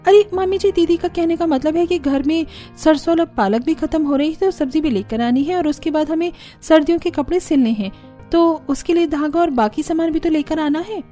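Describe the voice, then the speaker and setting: Here is a woman playing a young daughter-in-law from a cartoon tale, pitched very high. She is whiny and fed up, always eager to scheme, with faint music underneath. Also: a little fast, dark tone, close to an everyday voice. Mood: quick-thinking. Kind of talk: Hindi cartoon story character voice.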